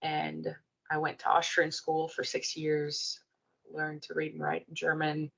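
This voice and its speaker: Brazilian woman in her 40s, Portuguese native, low with vocal fry, slightly muffled recording, reflective interview guest. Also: completely flat delivery, a little slow, fairly bright tone. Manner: thoughtful, many fillers and restarts. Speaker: clinical psychologist guest. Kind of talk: podcast interview, personal story.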